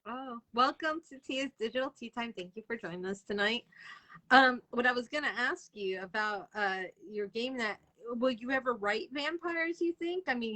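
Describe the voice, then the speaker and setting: A woman's voice: on the high side, sharp tone, rough recording. Woman hosting a casual chat. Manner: informal, reactive, loosely phrased questions. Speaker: host interviewer.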